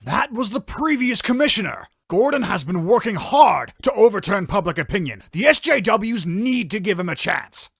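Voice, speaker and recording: Opinionated news pundit, male actor, lively pitch, husky edge, combative, comic dub. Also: normal speed, hardly any pauses, dry recording, clean studio sound, heavily acted. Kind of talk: fan dub voice acting, debate.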